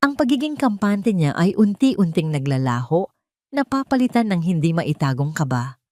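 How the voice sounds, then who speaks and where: A woman's voice: fairly low pitch, very animated, moderate pace, dark tone, no room sound, clean studio sound. A woman with a Filipino accent narrating a scripted romance drama as a storyteller.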